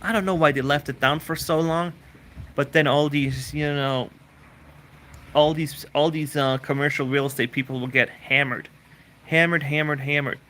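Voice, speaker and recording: A man's voice: a little high, steady tone, normal speed, a bit bright. A man who grew up in Switzerland, hosting a casual live Q&A, rambling and repeating words as he answers viewer questions.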